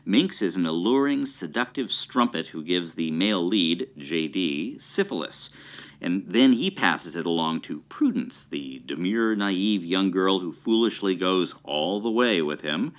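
Deep, animated man recounting film history. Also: measured pace. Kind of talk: storytelling explanation.

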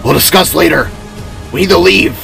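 A man's voice: a little high, expressive, moderate pace, vocal fry, a bit bright, faint music behind, full character voice. A man voicing a stern, tense, commanding adventurer in a story reading. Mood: urgent warning.

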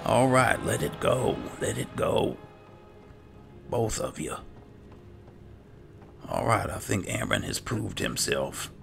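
Deep gravelly man, halting. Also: sing-song. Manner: halting, repeats himself. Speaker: gamer roleplaying a video game character. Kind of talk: improvised in-character roleplay commentary. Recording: game music under the voice.

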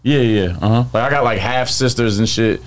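A young man from the United States, a podcast guest, telling stories in a relaxed, joking way on a studio mic. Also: mid-range voice, brisk. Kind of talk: casual interview talk.

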